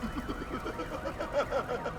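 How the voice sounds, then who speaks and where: A man voicing a manic, gleeful clownish vigilante villain in a video game, lurching theatrically between whispery menace and shrill glee. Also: very slow, noisy background, exaggerated voice. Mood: gleeful, vengeful.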